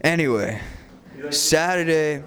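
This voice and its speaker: A man's voice: a little high, animated, slow, a bit bright. A man telling a casual, rambling story, full of "like" and "you know" fillers, joking and mock-serious. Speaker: student show presenter.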